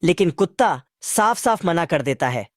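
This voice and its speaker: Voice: mid-range voice, very animated, moderate pace, dry recording. A narrator recapping a cartoon in a casual, chatty way with a bit of street slang. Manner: asks viewers to comment.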